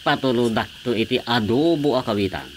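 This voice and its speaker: An actor playing a sly, scheming village cockfight gambler in an Ilocano comedy radio drama, with an Ilocano accent. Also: male voice, medium pitch, lively intonation, brisk, background noise, natural voice. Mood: sly, boastful.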